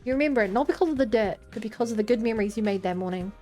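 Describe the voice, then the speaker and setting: Twenty-something girl streamer goofing around with chat, kinda high voice, game music going. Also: expressive, very fast, darkish tone. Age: 20s. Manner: rambling, joking, talks to chat. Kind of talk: casual gameplay commentary.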